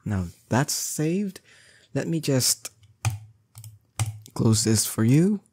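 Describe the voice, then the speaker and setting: Man playing a doting strong boyfriend, soft mellow timbre, unhurried, teasing and coaxing, intimate roleplay audio. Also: medium pitch, sing-song, a little hiss or hum, heavily acted. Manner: teasing, coaxing, affectionate, drawn-out words. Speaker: voice actor.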